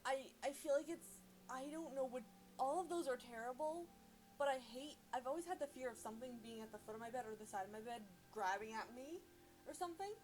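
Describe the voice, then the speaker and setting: A woman's voice: high-pitched, fast talker, a little mellow, soft background music, rough recording. A young woman co-host chatting casually and reacting to stories in a blunt, mock-exasperated way. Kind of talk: casual chat.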